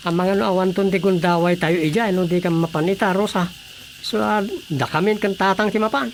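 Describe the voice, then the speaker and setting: A woman's voice: lowish voice, fairly even intonation, brisk, a little hiss or hum, lightly acted. A woman playing a sensible young villager in an Ilocano radio drama. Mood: practical.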